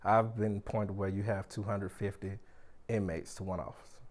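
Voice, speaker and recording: A man's voice: lowish voice, level tone, moderate pace, a little mellow. A man speaking candidly in an interview as a former officer, colloquial and emphatic. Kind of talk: candid, informal interview talk.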